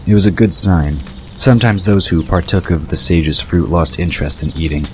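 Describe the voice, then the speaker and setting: A man's voice: low-pitched, normal speed, a little mellow, a little hiss or hum. A man reading scripted narration for an audio drama.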